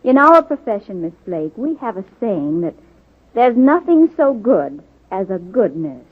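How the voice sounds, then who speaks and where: Superintendent of nurses, 1940s radio play: formal, deliberate, kindly yet exacting, husky, very thin band-limited sound. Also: female voice, medium pitch, very animated. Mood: measured, instructive. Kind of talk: 1940s radio drama acting. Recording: muffled phone-like vintage radio recording.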